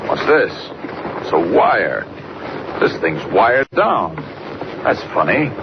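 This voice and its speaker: An American man playing an earnest, commanding superhero reporter in a crisp 1940s radio serial style. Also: lowish voice, big pitch swings, takes it slowly, exaggerated voice. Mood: determined, powerful. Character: earnest, urgent young newspaper reporter, secretly a mighty superhero. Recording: muffled noisy 1940s radio recording.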